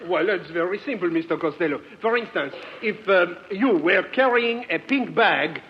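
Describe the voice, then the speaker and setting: A man's voice: high voice, expressive, moderate pace. A man playing a genteel, fussy fashion designer with hesitant, mannered courtesy in 1940s radio comedy. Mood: genteel, eager. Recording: dull, faintly noisy old radio recording.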